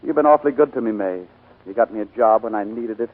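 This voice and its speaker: An actor playing an earnest, proud young small-town songwriter in 1940s radio style. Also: male voice, fairly low pitch, fast talker, close to an everyday voice. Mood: earnest, regretful. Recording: tinny scratchy 1940s radio broadcast.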